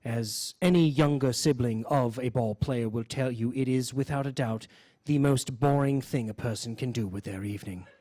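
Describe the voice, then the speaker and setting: A man hosting a radio show, reading mock nature-documentary narration in a deadpan, solemn way. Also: medium pitch, normal speed, no echo. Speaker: host of a live variety radio show.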